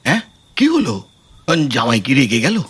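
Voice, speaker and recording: A man's voice: medium pitch, sing-song, normal speed, a bit bright, husky, soft background music, heavily acted. An actor playing a fussy, puzzled older father-in-law in a comic audio drama, exclaiming and repeating his words. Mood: puzzled, concerned.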